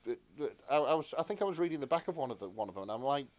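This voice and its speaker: A man co-hosting a podcast in casual, comedic chat, talking in a halting, rambling, self-deprecating way. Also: mid-range voice, animated, a little mellow. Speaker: podcast co-host.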